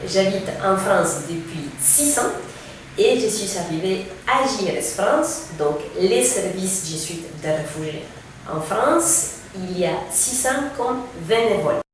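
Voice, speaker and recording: A woman in her thirties from Mexico, a refugee aid coordinator, calmly explaining her work in a presentation. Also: mid-range voice. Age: 30s. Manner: explanatory, measured, with fillers. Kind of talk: presentation to camera. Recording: very echoey room, distant mic, faint noise.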